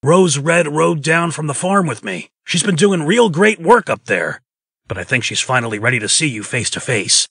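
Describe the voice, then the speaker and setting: A man's voice: fairly high pitch, big pitch swings, moderate pace, pushed and bright, breathy edge, no echo, clean studio sound, full character voice. A man voicing an agreeable, plain-spoken fairy-tale craftsman in a comic dub, earnest and deferential. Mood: helpful.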